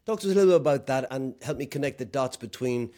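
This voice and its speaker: A man's voice: mid-range voice, lively intonation, fast talker, dark tone. A man with an Irish accent, hosting a podcast and asking his guest friendly, open questions. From Ireland. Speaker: podcast host. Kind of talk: conversational interview.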